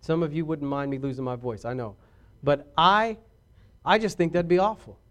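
High-voiced preaching man, emphatic. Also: normal speed, fairly bright tone. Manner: preachy, rising to emphatic declarations. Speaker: pastor. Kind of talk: sermon.

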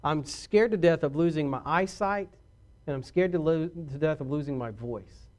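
Man, pastor; high-pitched voice, preachy and emphatic, swinging between soft asides and loud calls. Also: normal speed. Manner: preachy, rising to emphatic declarations. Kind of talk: sermon.

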